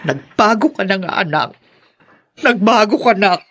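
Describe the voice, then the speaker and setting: Filipino radio drama, possessive older mother, husky, very animated pitch, theatrical, disapproving, guilt-tripping. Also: unhurried, muffled recording. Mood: wounded, pleading. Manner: theatrical, scolding and sighing. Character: possessive, disapproving grandmother, guilt-tripping her grown son.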